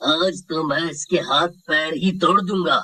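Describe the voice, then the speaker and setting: A man playing an arrogant rich merchant who bullies and blusters in a cartoon horror story, with a raspy voice. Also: a little high, steady tone, unhurried, bright, forceful tone, slight background noise. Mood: menacing. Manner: blustering, stammers when frightened. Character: arrogant, bullying rich merchant, easily spooked. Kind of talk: Hindi animated horror story dialogue.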